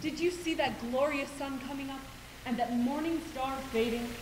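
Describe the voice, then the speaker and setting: A young woman on stage playing a calm, wry, kindly Mother Superior. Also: sits high, normal speed, lightly acted. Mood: cheerful. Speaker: student stage actor. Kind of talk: stage play acting. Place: school auditorium stage, far camera. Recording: echoey stage recording with hiss.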